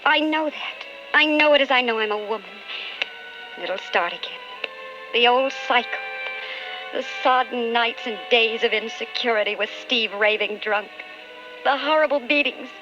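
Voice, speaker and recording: An actress playing an anguished, calculating wife of an alcoholic in an old-time radio drama, with intense, melodramatic delivery. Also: female voice, on the high side, moderate pace, highs cut off, over music, lightly acted. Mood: anguished, resolved.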